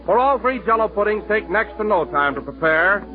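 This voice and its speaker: A man reading an ad as announcer in a smooth, upbeat way. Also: high-pitched, expressive, a little fast, over music. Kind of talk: radio ad read. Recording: tinny 1930s radio broadcast.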